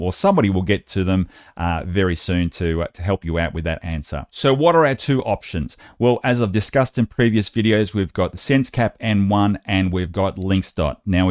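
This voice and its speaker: A man with an Australian accent and a low-pitched voice presents an online update. He speaks conversationally, addressing his viewers directly as he explains things. The sound is dry and close, with very little room in it. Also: a little mellow, no echo. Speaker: YouTube channel host and presenter. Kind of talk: informal explanatory video presentation.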